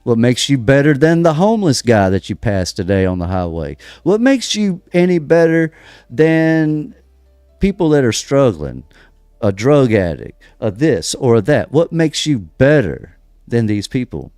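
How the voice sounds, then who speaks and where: An American man hosting a religious podcast, delivering emphatic commentary full of rhetorical questions, pauses and restarts. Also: medium pitch, big pitch swings, darkish tone, no room sound, clean studio sound, faint music behind. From United States. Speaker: podcast host. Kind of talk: preaching-style religious commentary.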